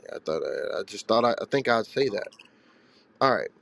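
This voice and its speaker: A man's voice: on the low side, very animated, a little fast, gravelly, fairly bright tone, slightly rough. A man hosting a live religious call-in show, answering callers in a blunt, rhetorical, streetwise way.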